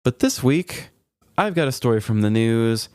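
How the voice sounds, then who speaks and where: A man's voice: medium pitch, animated, moderate pace, a little mellow, clear, resonant tone, dry recording. A man co-hosting a podcast in casual chat with laid-back, dry humor. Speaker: podcast co-host.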